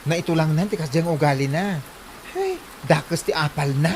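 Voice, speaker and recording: A woman's voice: low-pitched, moderate pace, darkish tone, slight background noise. A woman acting a gushing, gossipy village best friend in an Ilocano comedy drama, with an Ilocano accent.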